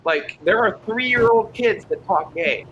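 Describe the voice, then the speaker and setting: A man's voice: high voice, animated, a little slow, a bit bright, highs cut off, a little hiss or hum. A man chatting candidly and bluntly on a podcast, laughing often. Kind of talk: casual podcast chat.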